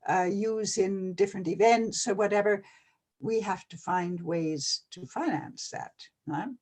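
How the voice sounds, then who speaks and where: An older person from Canada, talking casually and hesitantly with many pauses and fillers. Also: mid-range voice, a little mellow. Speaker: retired NGO advisor. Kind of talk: casual video-call conversation.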